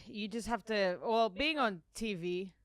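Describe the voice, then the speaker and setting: Israeli-accented woman comic, Hebrew native; smooth, clear tone, hunts for words. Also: medium pitch, no echo, clean studio sound. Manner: halting, self-deprecating, searches for English words. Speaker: comedian guest. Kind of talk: casual podcast chat.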